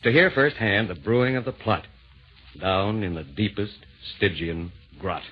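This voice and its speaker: A man narrating an old radio play in rhyme, theatrical, measured and wry. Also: fairly low pitch, slightly rough. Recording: dull vintage radio recording.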